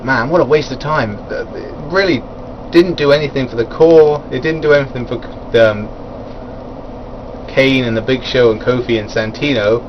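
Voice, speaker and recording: A man talks through a wrestling event in a loose, rambling way, with plenty of ums and restarts and little chuckles at his own side remarks. His voice sits in an ordinary middle pitch. A faint hum of air conditioning runs underneath. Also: moderate pace, highs cut off. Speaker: wrestling reviewer. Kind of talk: casual spoken event review.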